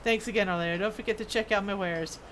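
A woman's voice: medium pitch, steady tone, fast talker, a bit bright, a little hiss or hum, natural voice. A woman voicing a proud, folksy old male dwarf blacksmith from a fantasy game. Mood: warm. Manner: folksy, proud, reading scripted dialogue.

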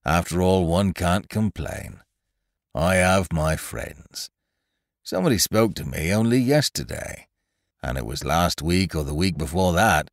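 Male reader as doleful, pompous old donkey for a storybook, very low, heavy delivery, close dry sound. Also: lively intonation, unhurried, dry recording. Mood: resigned gloom. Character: gloomy, self-important old male donkey.

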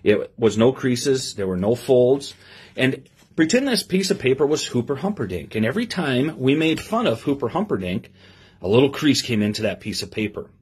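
A middle-aged man reading aloud to children in an expressive sing-song way, with simple asides to his listeners. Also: medium pitch, moderate pace. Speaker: police lieutenant reading to children. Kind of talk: read-aloud story time with asides to young listeners. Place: small office, indoors. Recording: clear background noise with clicks.

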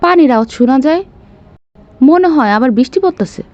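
A woman's voice: high voice, expressive, fast, a little mellow, dull audio. A woman playing a harried, grumbling cartoon mother with a Bangladeshi Bengali accent, complaining in colloquial speech. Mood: fretful grumbling. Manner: complaining, exasperated.

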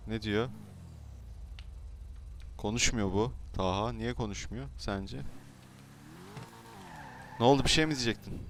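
Male gamer, low voice, pushy improvised role-play banter, noisy game voice chat. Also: a little slow, long pauses, a bit bright. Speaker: YouTuber role-playing in an online game.

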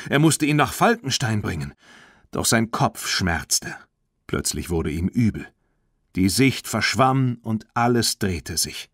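A man narrating a children's audiobook in an expressive, dramatic storytelling manner. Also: fairly low pitch, dry recording, studio quality.

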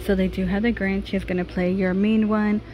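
A woman's voice: mid-range voice, level tone, brisk, muffled recording, over music. A woman vlogger giving a chatty shopping walkthrough, laughing often, filmed on a handheld phone in a large store. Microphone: handheld phone camera. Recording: noisy store background.

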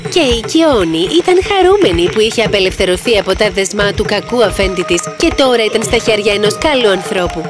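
A woman's voice: mid-range voice, animated, hardly any pauses, over music. A woman reading scripted storybook narration as the narrator.

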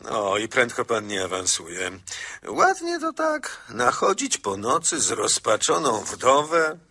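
An actor playing a smug, mocking murderer and sweet-talking lover in a radio drama, playful and taunting. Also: male voice, mid-range voice, huge pitch swings, pushed and bright, slightly rough, full character voice. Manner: taunting, playful, drawn-out endearments.

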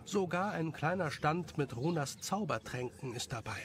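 A man's voice: fairly high pitch, lively intonation, normal speed. A man reading scripted story narration as the narrator.

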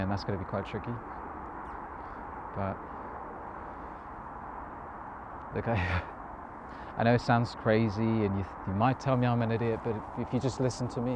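A man acting a nervous, awkward lover in a naturalistic modern stage monologue, halting, hesitant and earnest. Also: fairly low pitch, lightly acted. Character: nervous, awkward, lovestruck man confessing his love. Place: outdoors, park bench. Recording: noisy outdoor recording with passing vehicles.

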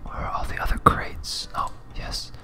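A gaming host giving whispered, breathy ASMR commentary in a casual, self-muttering way while playing. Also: normal speed, bright, forceful tone, soft background music.

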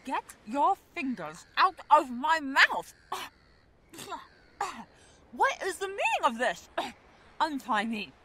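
A woman performs a fierce, commanding woman in a radio play. Her voice is high and swings widely in pitch as she gives forceful orders. There is clear noise behind her. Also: big pitch swings, slow pace, long pauses, heavily acted. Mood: indignant. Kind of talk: audio drama acting.

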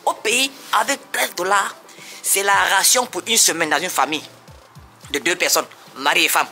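A man's voice: high voice, normal speed, sharp tone, faint music behind. A man vlogging outdoors on a street, complaining casually. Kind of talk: street vlog.

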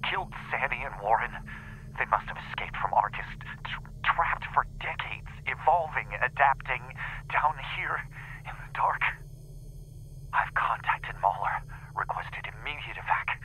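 An actor playing a scientist who leads an expedition, in a sci-fi game audio log. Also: male voice, medium pitch, lively intonation, a little slow, sharp tone, poor audio. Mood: terrified, grieving. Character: shaken male scientist leading a doomed expedition. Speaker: research team leader. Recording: faint noise, recorded audio log.

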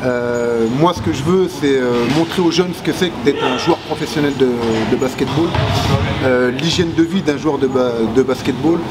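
A man in his forties, a basketball player, answering interview questions with many pauses and restarts, seated in empty arena stands. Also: mid-range voice. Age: 40s. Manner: hesitant, many fillers and restarts. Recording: loud background noise.

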